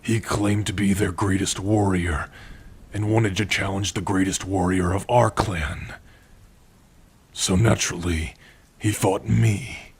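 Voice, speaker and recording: Orc blacksmith played by a man for ASMR roleplay: tender and proud, lowish pitch, very breathy rasp, intimate delivery. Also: steady tone, heavily acted. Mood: fond, reminiscing. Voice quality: husky, breathy. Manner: slow, tender, measured delivery with long pauses. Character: gentle, proud male orc warrior-blacksmith, loving partner. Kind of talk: ASMR roleplay, intimate second-person acting.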